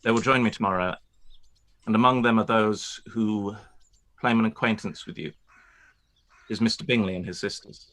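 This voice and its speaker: A man playing a reserved, proud young gentleman landowner in a radio play, speaking formally with brief hesitations. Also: lowish voice, fairly even intonation, moderate pace, pauses often, lightly acted. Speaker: actor in a live-read radio play. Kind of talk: period radio play acting.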